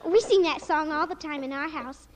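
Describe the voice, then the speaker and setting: A woman's voice: sits high, normal speed, natural voice. A female performer playing a chatty, morbid, earnest little girl in 1940s radio style, precocious and matter-of-fact. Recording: noisy old radio recording.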